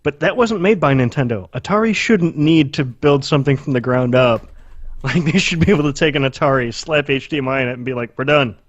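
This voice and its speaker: A man's voice: medium pitch, brisk, dry recording, highs cut off. A man co-hosting a podcast with casual, profane banter, sarcastic and given to joking exaggeration. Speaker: podcast co-host.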